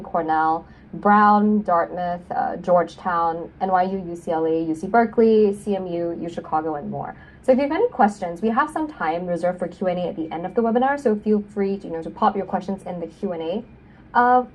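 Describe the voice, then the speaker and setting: A woman's voice: medium pitch, darkish tone. A young woman from Singapore, an admissions consultant giving a webinar talk, friendly and peppered with "um" and "you know". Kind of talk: webinar presentation. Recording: voice a bit far from the mic.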